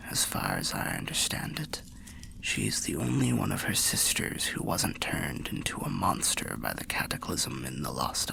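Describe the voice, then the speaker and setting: A man voicing an old undead soul telling fantasy tales in a musing, unhurried ASMR roleplay manner, low, gravelly and half-whispered. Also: fairly bright tone, full character voice. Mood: somber. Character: old undead soul, genial storyteller by a bonfire.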